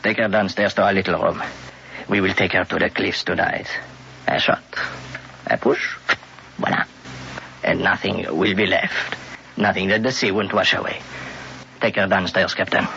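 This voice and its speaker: An actor playing a smooth, cold-blooded police captain, talking in a suave, measured way in a 1950s radio drama. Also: male voice, low-pitched, flat delivery, exaggerated voice. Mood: sinister. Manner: suave and measured, with French phrases. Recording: dull old radio broadcast with loud hiss.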